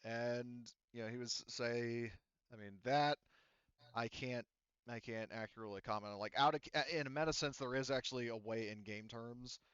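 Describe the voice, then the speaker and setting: A man's voice: mid-range voice, fairly even intonation, moderate pace, fairly bright tone, smooth, no echo, muffled recording. A man serving as game master, relaying a character's words to the players in a hesitant, filler-heavy way. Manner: hesitant, filler-heavy, says "you know" and "like" often.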